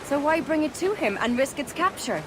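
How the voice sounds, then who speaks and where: An actress playing a bold, skeptical pirate shipmate, forthright and questioning, in a dramatic game scene. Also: female voice, sits high, very animated, moderate pace, background noise. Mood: doubtful. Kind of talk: dramatic video game acting.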